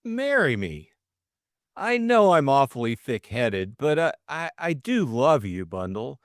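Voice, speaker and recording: A man's voice: mid-range voice, sing-song, measured pace, no room sound, clean studio sound, pitched above the performer's own voice. A man voicing a flustered, lovestruck young Englishman, stammering and eager. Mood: earnest pleading.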